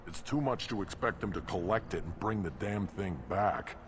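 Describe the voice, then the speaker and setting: An actor playing a surly, sarcastic hulking alien mechanic in a video game. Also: male voice, deep voice, moderate pace, close to an everyday voice. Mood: grumbling. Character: grumpy male krogan mechanic, gruff hulking alien. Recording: clear noise, vehicle hum behind.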